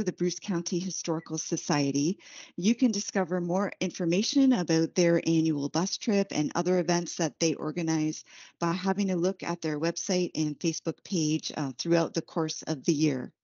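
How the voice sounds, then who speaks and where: Low-voiced woman introducing a speaker. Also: normal speed, warm tone, dry recording, lo-fi sound. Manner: reads a prepared introduction with small fillers. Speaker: archivist and event host.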